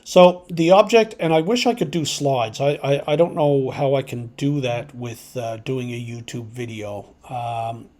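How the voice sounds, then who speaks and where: A man hosting a hobby radio video, explaining informally and unscripted in a hesitant, halting way, as if thinking aloud. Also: medium pitch, a little mellow. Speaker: amateur radio video host.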